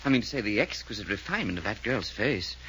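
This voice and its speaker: An actor playing a refined, excitable gentleman adventurer in an old-time radio serial. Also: lowish voice, fast talker, a little hiss or hum, low-quality recording. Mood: genteel. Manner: plummy, excitable. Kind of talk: 1940s radio acting.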